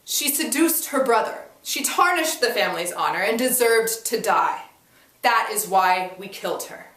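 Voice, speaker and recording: A woman's voice: a little high, expressive, normal speed, a bit bright, distant mic. A woman acts out a haughty, hostile uncle in a dramatic story reading. The delivery is snappish, dismissive and aggressive. It is recorded in an echoey room, away from the mic. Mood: haughty, cold.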